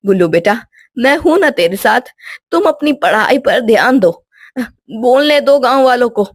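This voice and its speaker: A woman's voice: fairly high pitch, animated, normal speed, dry recording, clean studio sound, pitched above the performer's own voice. Woman acting old grandmother, protective, soothing, cautioning, village storybook character. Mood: reassuring.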